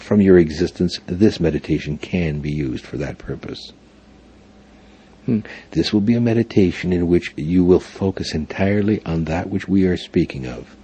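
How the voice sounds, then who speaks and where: A man leading a guided meditation for a group, speaking in formal, deliberate phrases punctuated by frequent hums. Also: low voice, mellow, slightly rough. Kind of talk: guided meditation instruction before a live group.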